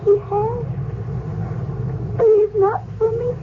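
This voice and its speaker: An anguished young slave girl, high voice. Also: flat delivery, slow pace. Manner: emotional, pleading. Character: devoted young slave girl Lydia, passionate and jealous. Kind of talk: 1970s radio drama acting. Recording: tinny lo-fi radio broadcast with hiss.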